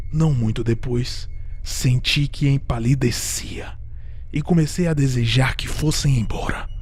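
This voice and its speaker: An unhinged Brazilian murderer, slow-paced. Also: male voice, mid-range voice, slightly rough. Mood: uneasy, agitated. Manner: theatrical, intense, swinging from hushed to shouting. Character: guilt-ridden murderer, unhinged, increasingly frantic, confessing. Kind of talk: dramatic audio drama narration of a gothic horror story.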